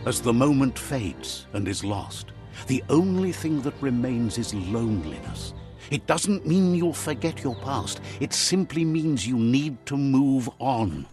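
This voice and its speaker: A man voicing the narrator of a video game, a wise storyteller speaking in slow, measured sayings. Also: mid-range voice, very animated. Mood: calm, sage. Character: calm wise male narrator of a game world. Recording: game audio with clear music behind.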